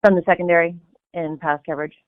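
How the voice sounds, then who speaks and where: A man's voice: on the high side, fairly even intonation, fast, clear, resonant tone, dry recording. A man working as a sports reporter, putting questions at a press conference over a phone line. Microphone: phone conference call. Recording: thin phone line, dry.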